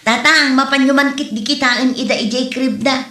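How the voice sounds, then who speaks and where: A weary, hot-tempered young wife with an Ilocano accent, acted by a woman for a comedy drama. Her voice is bright and forceful, set a little high. The recording is roomy and echoey with faint noise behind. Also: steady tone, moderate pace. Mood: weary, plaintive.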